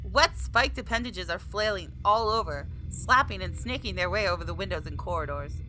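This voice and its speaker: A woman doing a let's play, reading a visual novel aloud in an expressive way and exclaiming as she reacts. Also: medium pitch, normal speed, faint music behind, rough recording. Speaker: gaming video creator.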